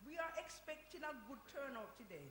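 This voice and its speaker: A woman's voice: high-pitched, very animated, a little fast, a bit bright. A woman acting in a radio play as a bossy, upbeat community centre organiser. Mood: gracious, chatty. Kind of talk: radio drama performed before an audience. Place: hall, recorded live. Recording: echoey hall with background noise.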